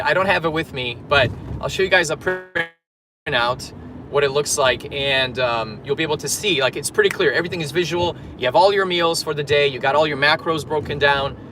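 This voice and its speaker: A man's voice: fairly high pitch, background noise. A man from Poland, a fitness coach, chatting casually on a livestream while driving and reading viewer comments aloud. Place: in a car in traffic.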